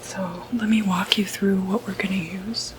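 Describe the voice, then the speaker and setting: A woman playing a gentle, reassuring doctor who treats demonic possession, in a hushed, soothing ASMR medical roleplay. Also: medium pitch, steady tone, background noise, close to an everyday voice. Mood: calm, reassuring. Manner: hushed, unhurried, hesitant, soothing.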